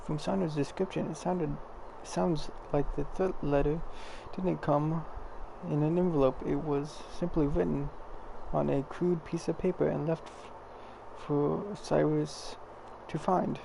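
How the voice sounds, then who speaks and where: A man doing casual gameplay commentary and reading along in an offhand, sometimes halting way. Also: fairly high pitch, steady tone. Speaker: gamer reading along with game dialogue. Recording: clear background game noise.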